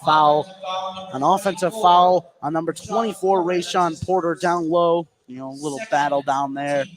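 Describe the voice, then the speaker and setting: A man's voice: a little high, fairly even intonation, moderate pace, a crowd in the background, low-quality recording. A man calling live radio basketball play-by-play in a running narration that follows the action. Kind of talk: live radio sports commentary.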